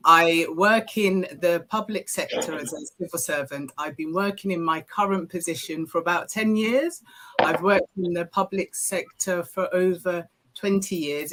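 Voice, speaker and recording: Bright-toned woman in her forties. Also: lowish voice, slight background noise, poor audio. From Ghana, raised in the UK. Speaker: guest, civil servant.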